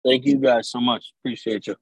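A man's voice: mid-range voice, fairly even intonation, a little fast, breathy edge, no echo, low-quality recording. A man talking casually on a call, meandering and leaning on fillers. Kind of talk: casual chat.

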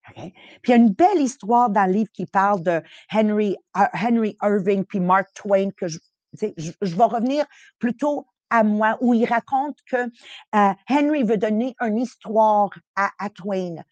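A woman's voice: medium pitch, moderate pace, darkish tone, no echo, lo-fi sound. A woman with a Quebec French accent, giving a live motivational podcast talk in a heartfelt, emphatic way, repeating key phrases. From born in Italy. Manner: slips English phrases into French. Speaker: podcast host and motivational speaker.

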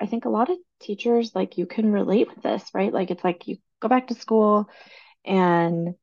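A woman's voice: mid-range voice, creaky, a little mellow, muffled recording. A woman in her thirties hosting a casual podcast, chatty and enthusiastic, her voice still recovering from laryngitis. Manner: chatty, enthusiastic about organizing. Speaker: podcast host. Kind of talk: casual solo podcast talk.

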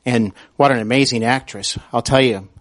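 A man hosting an interview show in a conversational style, complimentary and somewhat halting as he feels out his sentences. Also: mid-range voice. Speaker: podcast and radio host, interviewer.

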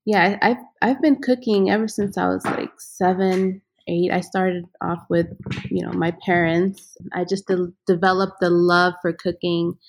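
A woman from Ethiopia speaks in a low, flat, gravelly voice, telling her story with soft hesitations. She sounds reflective and unhurried. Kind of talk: interview guest, casual talk.

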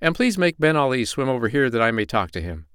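A man's voice: mid-range voice, very animated, fast, a bit bright, clean tone, dry recording, clean studio sound. A man voicing a kindly but stern animal doctor in a children's story, lecturing in a firm, formal way. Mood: polite, assured.

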